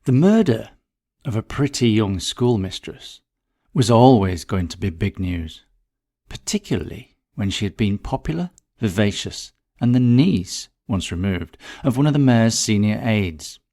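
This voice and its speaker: A man with a fairly low-pitched voice narrates a scripted short story. He reads as the narrator of a whodunnit, delivering prepared prose. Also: animated, unhurried, dark tone. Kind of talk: scripted narration of a murder mystery.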